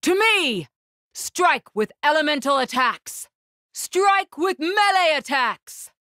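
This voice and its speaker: A woman voicing a proud, composed, regal warrior spirit in a video game, with formal, commanding, measured diction. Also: high voice, big pitch swings, bright, forceful tone, dry recording, studio quality, exaggerated voice. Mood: fierce, commanding. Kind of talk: video game battle lines.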